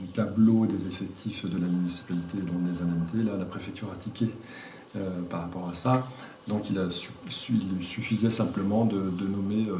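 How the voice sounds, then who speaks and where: A man answering interview questions as a village mayor, speaking informally and haltingly as he searches for words. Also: low voice, mellow. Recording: echoey room, distant mic, faint noise.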